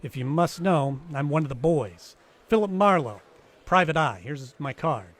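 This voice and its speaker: A man's voice: fairly high pitch, expressive, measured pace, fairly bright tone. A man playing a wisecracking, dryly sardonic private eye in an old-time radio detective play. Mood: wry, brash. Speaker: actor in live radio play, also narrating.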